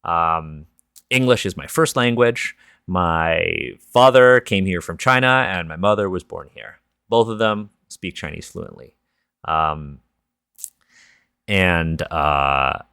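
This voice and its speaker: A man's voice: sits low, creaky, sharp tone, clean tone, dry recording, studio quality. A man with a Canadian accent hosting a podcast, chatting casually in a candid, informal and reflective way. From Toronto, Canada. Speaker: podcast host.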